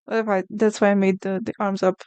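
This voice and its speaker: Smooth-voiced woman chatting on stream. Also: mid-range voice, level tone, fast talker, darkish tone, no room sound. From Brazil. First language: Portuguese. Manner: chatty, reacts to chat messages. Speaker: artist streamer. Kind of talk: casual live-stream chat while drawing.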